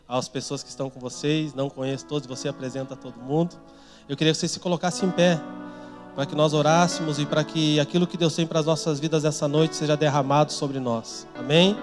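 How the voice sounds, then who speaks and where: A man's voice: a little high, steady tone, fast talker. A man leading a church service, introducing the preacher and praying earnestly over the congregation. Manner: earnest, rising to fervent in prayer. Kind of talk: fervent congregational prayer. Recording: church music playing behind.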